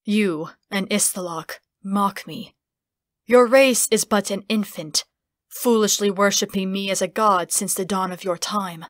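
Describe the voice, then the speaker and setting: A woman's voice: mid-range voice, unhurried, dry recording, natural voice. A woman plays an ancient godlike spirit, grave and aloof, with weary scorn. It is an imperious fantasy audiobook character, recorded close and dry. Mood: scornful.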